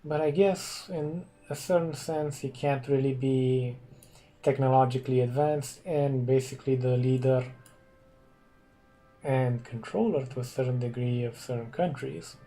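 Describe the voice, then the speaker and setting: A Romanian man, a non-native English speaker, casually commenting on a strategy game and thinking aloud with hums and pauses. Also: medium pitch, fairly even intonation, warm tone, poor audio. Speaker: gaming commentator.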